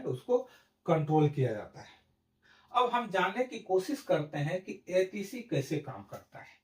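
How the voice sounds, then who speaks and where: A man giving an explanatory lesson in a didactic, step-by-step way. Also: high-pitched. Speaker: educational presenter. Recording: roomy echo, distant microphone.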